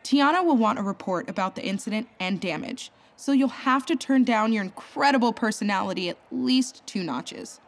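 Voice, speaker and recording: Lady doing a no-nonsense counselor, brisk, bouncy voice. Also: medium pitch, animated, creaky. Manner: brisk and exasperated. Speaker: counselor at a villain rehab center.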